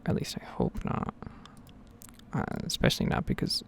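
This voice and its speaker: A woman giving whispered and soft-spoken ASMR game commentary in a breathy, rambling, unhurried way. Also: a little hiss or hum. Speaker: gaming ASMR video creator.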